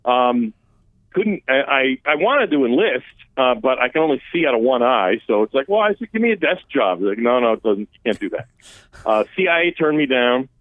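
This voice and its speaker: A man's voice: mid-range voice, fairly quick, no room sound. Man on a tinny phone line. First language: Armenian. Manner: conversational, emphatic, with restarts and lively rises. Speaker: immigration policy expert guest. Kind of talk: political talk radio interview. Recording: phone-line guest audio, dry.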